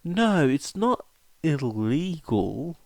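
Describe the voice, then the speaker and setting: Storybook role: bookish teen witch, read by a man, lifted pitch, earnest and stubborn, dry sound. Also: very animated, drawn-out words, gravelly, no room sound, heavily acted. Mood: defiant, certain.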